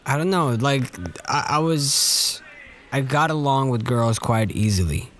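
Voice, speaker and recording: A young man with a mid-pitched voice, chatting on a podcast. He tells his stories in a loose, slangy way and pokes fun at himself. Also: a little slow. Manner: relaxed, self-mocking storytelling with slang. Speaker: podcast co-host. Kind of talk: casual chat.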